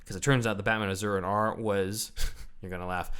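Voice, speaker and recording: Brief: lower-pitched male co-host, chatty, walks through comic lore in detail. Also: a little fast, clean tone. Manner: explains at length, animated storyteller. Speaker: podcast co-host. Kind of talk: casual comic-book discussion.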